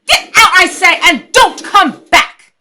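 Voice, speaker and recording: A woman playing a haughty young society woman in a radio comedy, imperious and furious as she shouts. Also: very high-pitched, animated, takes it slowly, a little hiss or hum, full character voice. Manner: shrieking, imperious. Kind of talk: 1930s radio comedy read-through.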